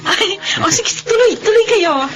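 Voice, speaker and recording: A woman's voice: very high voice, animated, a little slow, faint music behind. A woman acting a good-natured, easily flustered young mother in a radio drama.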